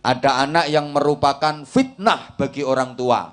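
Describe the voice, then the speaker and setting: A man's voice: mid-range voice, normal speed. A middle-aged man with a Javanese accent, preaching a comic sermon to a village congregation and teasing the crowd. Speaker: Islamic preacher.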